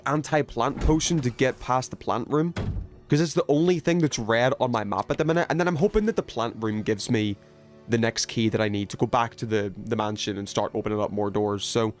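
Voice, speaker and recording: Guy streaming a game, chatting to viewers, rambly and sweary, mid pitch, music in the background. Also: a little fast. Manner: rambling, thinking aloud, casual swearing. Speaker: livestream host playing a video game. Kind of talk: casual gaming stream chat, reading viewer messages.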